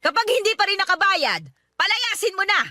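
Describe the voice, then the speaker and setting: Sharp, high-voiced domineering mother. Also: animated, brisk, no room sound, heavily acted. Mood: stern, scolding. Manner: scolding, commanding, threatening. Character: domineering, status-conscious mother of grown children. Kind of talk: Filipino radio drama acting.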